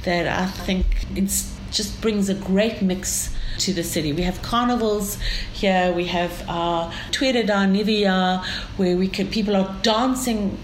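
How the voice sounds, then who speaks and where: A woman from South Africa with a South African accent, describing her city as an interview guest in an enthusiastic, storytelling way. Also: mid-range voice. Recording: echoey room with faint ambient noise.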